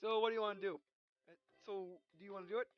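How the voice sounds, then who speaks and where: A woman hosting a let's play, reading game dialogue aloud and commenting in a halting way, often repeating words. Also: mid-range voice, steady tone, lo-fi sound. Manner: halting, repeats words, reads punctuation and speaker names aloud. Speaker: gaming video host. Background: game music.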